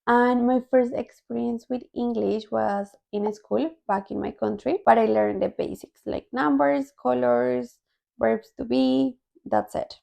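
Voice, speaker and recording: A young woman with a Colombian Spanish accent shares personal stories in a casual vlog style. Her voice sits a little high yet stays soft and mellow. A strong, gravelly fry runs through her speech. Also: steady tone, unhurried. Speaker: language-learning vlogger. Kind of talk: casual personal storytelling to viewers.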